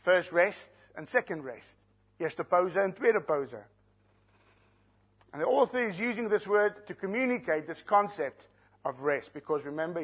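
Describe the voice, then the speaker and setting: A man, an Afrikaans speaker, teaching a sermon verse by verse, slowing down to stress key words and putting questions to the congregation. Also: on the high side, slightly rough. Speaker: preacher. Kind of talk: expository Bible teaching.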